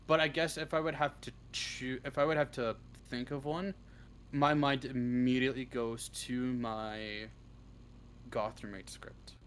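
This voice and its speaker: A man with an average-pitched voice talks casually as a guest in a live interview. He hesitates, stops and starts, and swears freely. The audio has clear noise behind him. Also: steady tone, a little slow, fairly bright tone. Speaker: interview guest, script writer.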